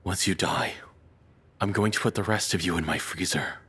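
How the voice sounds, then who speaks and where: Man acting a deep, husky, lovesick deranged captor. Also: moderate pace, heavily acted. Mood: obsessive, menacing. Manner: soft, confessional, hesitant, calmly menacing. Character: deranged lovesick male cannibal captor, softly menacing. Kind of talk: intimate scripted audio roleplay monologue.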